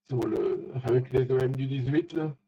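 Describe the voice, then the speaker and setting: A man, an amateur radio operator, chatting casually in a halting, repetitive way. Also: medium pitch, warm tone, slightly rough. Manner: halting, repeats words. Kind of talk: casual ham radio chat. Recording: dull lo-fi radio link.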